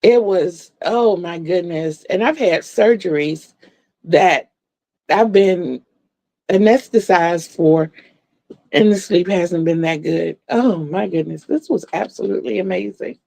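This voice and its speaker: A woman's voice: on the low side, a little slow, gravelly, mellow, dry recording. A woman in her sixties chatting casually to viewers from home, laughing often and repeating words. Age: 60s. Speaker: livestream vlogger. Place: home room lit by a floor lamp.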